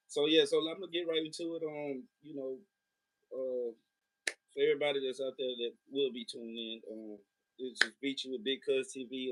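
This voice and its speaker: An easygoing man hosting a casual interview over the phone. He talks in slang and fills gaps with "um" and "you know". His voice sits at a middle pitch. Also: rough recording. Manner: laid-back, slangy, many fillers. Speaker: interview host.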